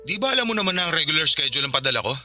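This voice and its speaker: A man's voice: a little high, very animated, very fast, over music. An actor playing a firm, exasperated young father in a radio drama, curtly refusing his former partner. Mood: curt, guarded. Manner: curt, firm.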